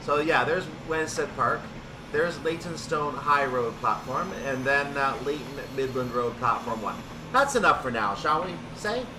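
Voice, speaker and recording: Man commentating over loud background noise. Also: medium pitch, measured pace, distant mic. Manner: thinks aloud, self-correcting. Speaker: gaming video host. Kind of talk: casual train simulator play-through commentary.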